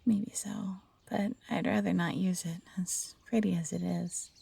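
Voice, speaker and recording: Woman acting a gentle, breathy young-hearted fox-hybrid girl. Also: fairly low pitch, steady tone, unhurried, dry recording, full character voice. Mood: gentle, hesitant. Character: gentle, eager fox-hybrid former servant woman learning magic. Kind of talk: intimate roleplay audio drama.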